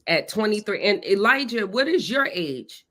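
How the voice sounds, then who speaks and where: A woman's voice: mid-range voice, big pitch swings, moderate pace, sharp tone. A woman interviewing guests in a conversational style.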